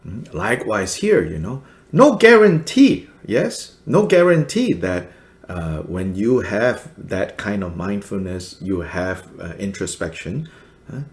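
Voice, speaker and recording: A middle-aged man from Malaysia gives a Buddhist commentary in a very low, slow voice. His pitch swings widely as he leans into key words, and he talks in halting bits full of fillers and small laughs. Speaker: Buddhist teacher. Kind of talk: dharma teaching commentary.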